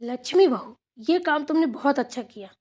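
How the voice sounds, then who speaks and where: Woman voicing a high-voiced, bossy mother-in-law. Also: expressive, brisk, darkish tone. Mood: chatty, content. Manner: homely, rambling, instructing. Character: bossy, chatty older village mother-in-law. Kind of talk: amateur Hindi family cartoon dialogue.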